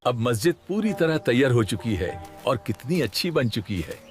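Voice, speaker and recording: A man's voice: medium pitch, sing-song, moderate pace, lightly acted. A man playing a pious, approving villager in a moral story, speaking devoutly. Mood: approving, grateful. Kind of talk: acted story dialogue. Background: background music.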